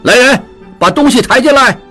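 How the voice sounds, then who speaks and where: A man's voice: fairly high pitch, very animated, brisk, fairly bright tone, husky, faint music behind, pitched above the performer's own voice, heavily acted. Man doing a blustering old father-in-law. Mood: flustered.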